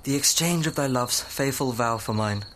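A young man acting an ardent, lovestruck young nobleman in a Shakespearean audio play, with a poetic, breathy, impassioned delivery. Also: medium pitch, moderate pace, a bit bright, a little hiss or hum, close to an everyday voice. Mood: yearning. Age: young adult. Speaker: student actor.

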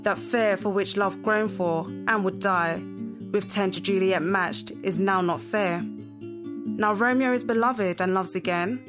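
Chorus storyteller, played by a young woman, medium pitch, solemn measured verse, guitar music behind. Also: lightly acted. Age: young adult. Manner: measured, poetic. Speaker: chorus narrator. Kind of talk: Shakespearean verse narration. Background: plucked guitar music.

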